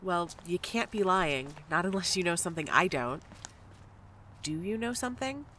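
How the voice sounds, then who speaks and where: Actress doing blunt restless woman in an audio drama, bright sharp voice, sardonic, faint noise behind. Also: on the low side, expressive, normal speed. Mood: worried, blunt. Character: restless, blunt, frustrated woman searching for family. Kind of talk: fiction podcast acting.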